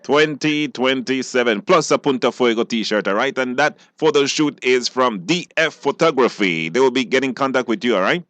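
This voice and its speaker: A man's voice: mid-range voice, moderate pace, few pauses, fairly bright tone, no room sound. Male radio host, Belizean accent, casual live call-in quiz delivery, mid-range voice.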